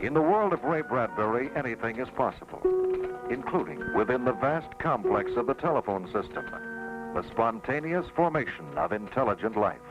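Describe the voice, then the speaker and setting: A man's voice: mid-range voice, animated, moderate pace. A man delivering formal scripted narration for a documentary. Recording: dull old documentary soundtrack, loud music.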